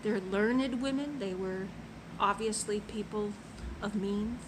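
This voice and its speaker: A woman telling a personal story in an earnest, impassioned way, swinging from soft to loud. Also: medium pitch, takes it slowly, background noise. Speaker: passport office clerk. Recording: loud music under the voice.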